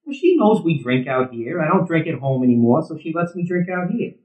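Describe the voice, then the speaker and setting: A hot-tempered middle-aged ballplayer in an audio drama. He is a combative, sarcastic man who swears freely and swings widely in pitch. The sound is roomy and echoing. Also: sing-song, a little fast, a little mellow. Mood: grumbling, conversational. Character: Eddie, hot-headed, beer-drinking middle-aged softball player. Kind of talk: naturalistic audio drama acting.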